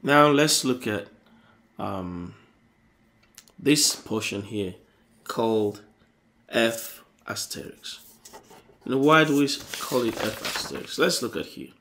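A man hosting an electronics tutorial, explaining circuit diagrams on paper in a halting, self-correcting way. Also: mid-range voice, animated, creaky, fairly bright tone.

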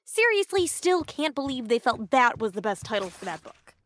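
A woman's voice: on the high side, sing-song, normal speed, a bit bright. A woman playing a blunt, sassy, protective young friend in an audio drama. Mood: wry, indignant.

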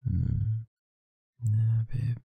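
A tired man talking softly and intimately, close to the mic, in an affectionate boyfriend roleplay. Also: fairly low pitch, fairly even intonation, extremely slow, gravelly, slightly rough, dry recording, phone-quality sound. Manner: soft, intimate, affectionate murmuring. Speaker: ASMR roleplay performer.

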